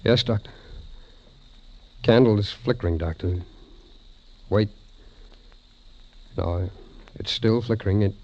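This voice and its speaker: An actor playing an earnest, anxious, deferential doctor's assistant in an old radio drama, speaking haltingly. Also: male voice, lowish voice. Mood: tense, horrified. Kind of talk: 1940s-50s radio drama acting. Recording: muffled old radio recording.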